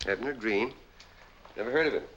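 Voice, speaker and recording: A man's voice: mid-range voice, a little fast, fairly bright tone, muffled recording, slight background noise, lightly acted. An actor playing a courteous, formal, probing male doctor in a 1940s film. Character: smooth-mannered, inquisitive male doctor. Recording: vintage film soundtrack, faint music.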